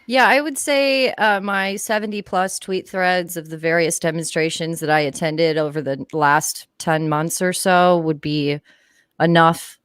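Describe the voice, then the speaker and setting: A woman with a slightly low voice talks casually as a guest on a livestream. She is informal and swears now and then. The sound is close and dry. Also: fairly even intonation, a little slow, fairly bright tone, smooth, dry recording. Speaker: livestream guest, activist live-tweeter.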